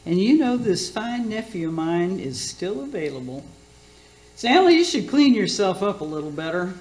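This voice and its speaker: A woman's voice: medium pitch, very animated, moderate pace, a little mellow, lightly acted. A woman playing a batty, boastful older aunt who hosts a radio cooking show in a comic radio play, with a folksy, theatrical, catty manner. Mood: teasing, doting. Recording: roomy echoing sound.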